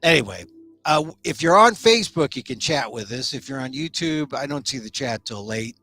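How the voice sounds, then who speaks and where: Animated chatty male talk-show host. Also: mid-range voice, moderate pace, no room sound, soft background music. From United States. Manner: animated, chatty, rambling with restarts. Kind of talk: casual solo talk show.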